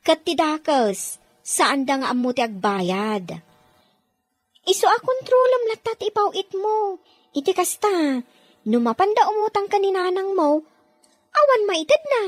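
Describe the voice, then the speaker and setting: An actress playing a practical, cautionary overseas worker in a radio drama, admonishing a friend emphatically. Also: female voice, very high-pitched, animated, measured pace, long pauses, no echo, faint music behind. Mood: firm advising. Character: practical, cautionary woman working abroad, advising her friend.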